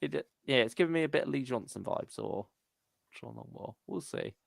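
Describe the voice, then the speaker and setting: A man's voice: medium pitch, lively intonation, moderate pace, gravelly, dry recording. A man co-hosting a fan stream, chatting casually and musing as he goes, sometimes trailing off. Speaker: co-host of a fan discussion stream.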